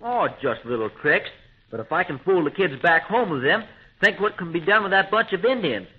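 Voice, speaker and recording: An actor playing an eager, cocky boy adventurer in a 1930s radio serial, with an excitable boyish manner. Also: sits high, a little fast, husky, exaggerated voice. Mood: eager, boastful. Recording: tinny, narrow 1930s radio recording.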